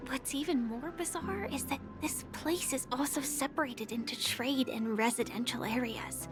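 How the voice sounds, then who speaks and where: Young game guide voiced by a woman: high, gentle voice, composed and explanatory, over clear background music. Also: moderate pace, lightly acted. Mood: gentle, informative. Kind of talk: scripted game dialogue.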